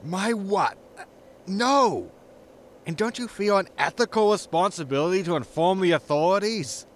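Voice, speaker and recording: A man's voice: high voice, expressive, unhurried, slight background noise. A man playing an exasperated, sarcastic spaceship captain in a sci-fi sitcom audio drama, with a weary, dry, scolding manner. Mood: incredulous.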